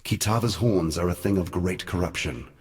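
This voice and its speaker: A man acts out an ancient male god from a fantasy game, with a low, deep voice. He is solemn and world-weary, choosing each formal word deliberately. The delivery is theatrical, grave and cunning. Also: a little mellow, close to an everyday voice. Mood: grave, resolute.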